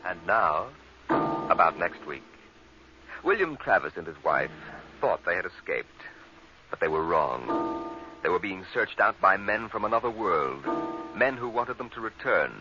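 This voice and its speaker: A man narrating a teaser in an ominous, deliberate 1950s radio style. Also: sits low, sing-song. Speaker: program host narrator. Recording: dull vintage radio recording. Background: music.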